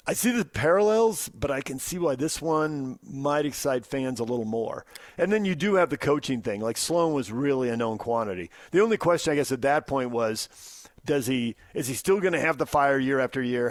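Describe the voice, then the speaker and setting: Guy doing sports radio talk, medium pitch, thinking out loud, really dry sound. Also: expressive, moderate pace, no echo. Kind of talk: unscripted sports talk commentary.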